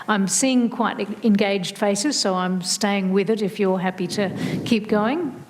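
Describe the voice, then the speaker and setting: A woman's voice: medium pitch, measured pace. A woman moderating a community question-and-answer panel, steering the talk in a friendly way. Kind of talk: hosting a community Q&A. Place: large hall. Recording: hall reverb, faint noise.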